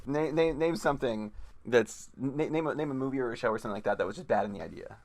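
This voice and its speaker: A man's voice: mid-range voice, animated, fast talker, slight background noise. A man co-hosting in a casual chat, commenting bluntly and swearing freely. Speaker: co-host commenting on the reading.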